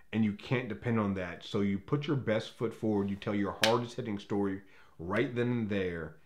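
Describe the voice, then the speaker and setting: An American man reviewing a film off the cuff in an emphatic, conversational way, often circling back to rephrase his points. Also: on the low side. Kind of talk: unscripted opinionated movie review.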